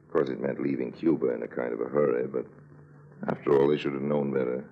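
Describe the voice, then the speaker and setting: A man playing a suave, sardonic con artist in a 1950s radio drama, with wry, theatrical, deliberate phrasing. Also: a bass voice, fairly even intonation, highs cut off. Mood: smug, wry.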